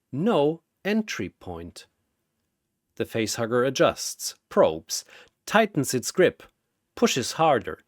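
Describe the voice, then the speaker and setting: Slow, dramatic male storyteller, very animated. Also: fairly high pitch, no echo, studio quality. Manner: theatrical, short suspenseful phrases. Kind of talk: dramatic scripted narration.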